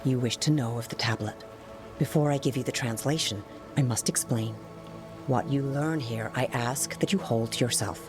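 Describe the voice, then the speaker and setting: An actor playing a Qunari scholar and translator, grave and formal. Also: female voice, very deep voice, moderate pace. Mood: solemn. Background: music.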